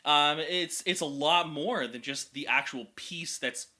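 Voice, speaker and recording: A young man with a higher speaking voice, chatting energetically as a vocal coach and explaining vocal anatomy in technical terms. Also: a little slow, bright, forceful tone. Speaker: vocal coach reacting to music. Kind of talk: casual reaction and explanation.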